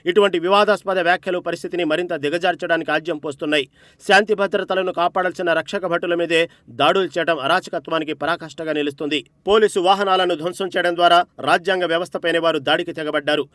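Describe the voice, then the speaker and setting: A man's voice: a little high, fairly even intonation, quick pace, fairly bright tone, dry recording. A man reading a scripted news report as narrator, with an emphatic, dramatic delivery.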